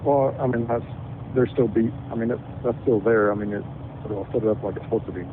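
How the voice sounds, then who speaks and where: A calm, low-key man explaining things haltingly in a casual phone conversation. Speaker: CB radio shop technician. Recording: tinny phone line, faint background noise.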